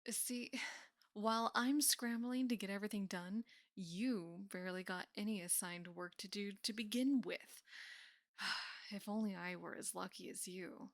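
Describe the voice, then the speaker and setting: A young woman playing a teasing, affectionate college best friend in an intimate roleplay audio, speaking softly and often slipping into whispers. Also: medium pitch, unhurried, no room sound, natural voice. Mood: wistful, playful. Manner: soft, often dropping into whispers, sighing. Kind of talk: intimate roleplay audio acting, casual and soft.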